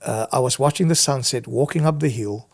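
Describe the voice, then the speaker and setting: Middle-aged South African man, very creaky voice. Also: mid-range voice, fairly quick. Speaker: guest missionary and visiting preacher. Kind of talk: conversational personal storytelling in an interview.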